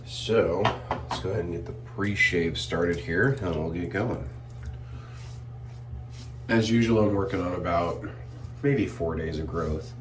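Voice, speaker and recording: A man reviewing shave soap in a relaxed, rambling way, a bit sniffly and clearing his throat often. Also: sits low, a little slow, long pauses, recorded from a distance, background noise. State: sniffly from irritated sinuses. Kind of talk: casual product review vlog.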